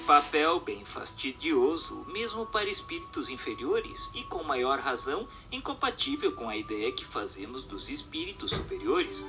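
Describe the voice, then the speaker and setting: Male narrator, scripted reading, slightly high voice, very lively intonation, formal didactic phrasing, faint music behind.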